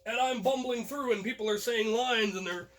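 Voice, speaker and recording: Male storyteller, young adult, high-pitched voice, casual first-person anecdote delivery for an online audience. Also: moderate pace, fairly bright tone, a little hiss or hum. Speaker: host and storyteller.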